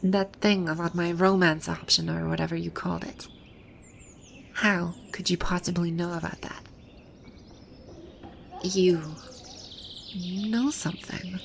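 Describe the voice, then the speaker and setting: A woman's voice: on the low side, steady tone, a little slow, gravelly, pauses often, slight background noise. A woman voicing a haughty, bossy video-game villainess who stammers and halts when flustered, in an ASMR roleplay. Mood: threatening, suspicious. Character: haughty, flustered female video-game boss brought to life. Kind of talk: ASMR roleplay audio drama.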